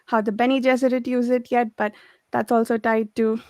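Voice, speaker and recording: A woman's voice: fairly high pitch, little pitch movement, normal speed, darkish tone, dry recording, studio quality. A woman in a casual book discussion, thoughtfully piecing her ideas together as she speaks.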